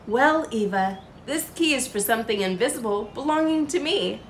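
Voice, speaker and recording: A woman's voice: fairly high pitch, expressive, moderate pace, reverberant, slight background noise. A woman voicing a kindly, patient, jolly old grandfather in a storybook, with encouraging delivery. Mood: patient, teasing.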